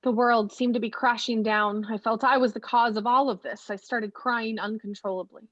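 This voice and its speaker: A woman's voice: a little high, fairly even intonation, moderate pace, no echo, highs cut off, lightly acted. An actress playing a troubled young woman who recounts her youth in reflective, literary radio play narration. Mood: pained, sorrowful.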